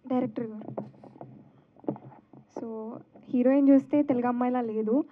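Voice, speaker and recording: A woman's voice: on the high side, steady tone, moderate pace, slight background noise. A woman asking questions as a reporter at a press event. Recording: muffled, narrow-band event sound.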